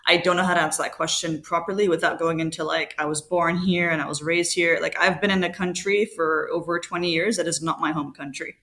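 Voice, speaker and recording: A woman's voice: on the low side, fairly even intonation, fairly bright tone. A woman in her twenties from Canada, a podcast guest chatting casually and laughing often. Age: 20s. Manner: quick, laughing, upbeat. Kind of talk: casual chat. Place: home, Abu Dhabi.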